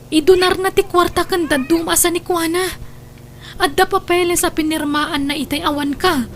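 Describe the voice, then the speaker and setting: High-pitched, insistent young daughter acted by a woman. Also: steady tone, normal speed, a bit bright, slight background noise. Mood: anxious, accusing. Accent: Northern Philippine. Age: young adult. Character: anxious, scheming young adopted daughter of a rich woman. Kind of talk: emotional audio drama acting.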